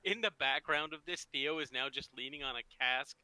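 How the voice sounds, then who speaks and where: A man's voice: mid-range voice, fairly quick, bright, forceful tone. A man playing at a tabletop game, chatting lightly and joking about his character. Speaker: player. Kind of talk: casual chat at a tabletop game.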